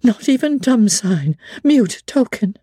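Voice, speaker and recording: A woman giving a measured, theatrical dramatic reading of a classic novel in a studio. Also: on the high side, very animated, dark tone, breathy edge, no room sound.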